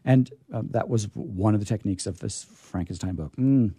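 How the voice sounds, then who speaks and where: An American man hosting a radio show, chatting casually and enthusiastically about books in a halting, discursive way with emphatic repetition. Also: lowish voice, mellow, breathy edge, no room sound, studio quality. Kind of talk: casual book chat.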